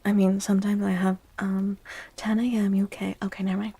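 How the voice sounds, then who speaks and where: A woman livestream host chatting in a soft-spoken ASMR style, often dropping into a whisper, with a gentle, playful, cutesy and affectionate manner. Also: medium pitch, flat delivery, fairly quick, husky, dry recording.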